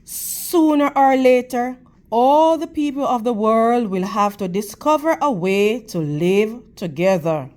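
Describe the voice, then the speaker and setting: A woman reading a picture book aloud to children in a teacherly manner, with deliberate, emphatic phrasing and questions for her young listeners. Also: fairly high pitch, animated, darkish tone. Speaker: read-aloud storytime host for children.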